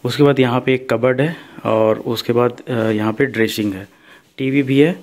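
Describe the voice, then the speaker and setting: A man's voice: mid-range voice, fairly even intonation, normal speed, darkish tone. A man casually narrating his own travel vlog, filmed on a handheld phone camera, with frequent hesitation sounds. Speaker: travel vlogger. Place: hotel room and roadside at night. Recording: phone recording with background noise.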